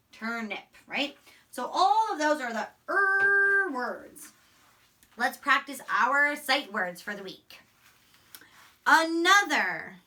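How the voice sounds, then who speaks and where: A woman teacher giving a phonics lesson and read-aloud to young children, encouraging, enunciating deliberately and repeating words, picked up by a distant microphone. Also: fairly high pitch, sharp tone. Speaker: elementary school teacher.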